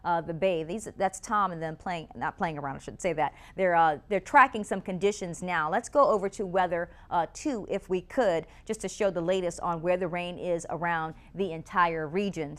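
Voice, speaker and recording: A woman's voice: lowish voice, clear, resonant tone. An American woman presenting live severe weather coverage on TV, ad-libbing as she goes.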